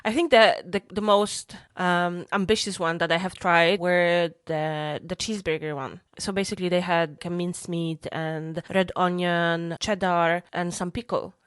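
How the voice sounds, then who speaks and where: Chatty young woman, lowish dry voice. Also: steady tone, measured pace, hardly any pauses, clear, resonant tone, no echo. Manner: chatty, restarts and repeats words. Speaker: podcast co-host. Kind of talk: casual chat.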